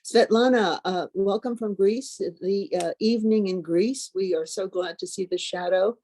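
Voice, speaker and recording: A woman's voice: medium pitch, normal speed, dark tone, slight background noise. A woman hosting a panel conversation, introducing guests with brief questions and adding short affirmations while they speak. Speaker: host.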